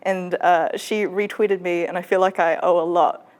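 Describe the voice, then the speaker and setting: Lower-pitched woman, casual interview guest. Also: fairly even intonation, normal speed, darkish tone. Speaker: guest, webcomic creator. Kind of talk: casual chat.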